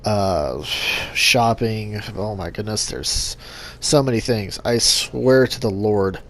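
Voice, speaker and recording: Low, monotone young man. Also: takes it slowly. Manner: monotone, with frequent filler and restarts. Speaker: gaming channel host. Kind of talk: casual vlog update to subscribers.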